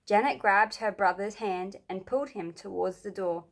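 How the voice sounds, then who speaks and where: Female narrator for children's audiobook, mid-pitched voice, reading scripted story prose. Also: normal speed, creaky. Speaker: storybook reader.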